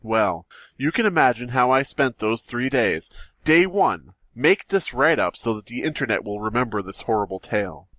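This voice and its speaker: A man's voice: medium pitch, expressive, moderate pace, a bit bright, no room sound. A man co-hosting a comedy podcast, reading a silly horror story aloud with mock-dramatic flair. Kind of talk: casual comedic podcast chat.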